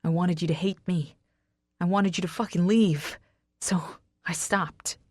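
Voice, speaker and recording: A woman voicing a brooding, possessive, hot-tempered man with a rasp, as a character in a romance audiobook. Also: on the low side, fairly even intonation, a little slow, darkish tone, no echo, studio quality. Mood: pained confession. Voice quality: rasping.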